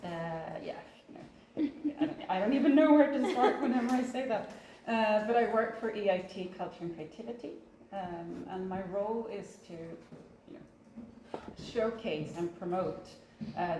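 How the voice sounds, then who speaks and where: A woman's voice: mid-range voice, normal speed, dark tone. A woman on a panel, wry and self-deprecating, laughing at her own title. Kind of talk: live panel discussion. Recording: echoey room, clear background noise.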